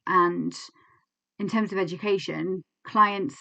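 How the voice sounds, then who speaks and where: A woman's voice: fairly low pitch, steady tone, takes it slowly, creaky, pushed and bright, lo-fi sound. An English woman in her twenties, a clinical psychologist, giving a candid, reflective teaching talk to camera with frequent fillers and restarts. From England.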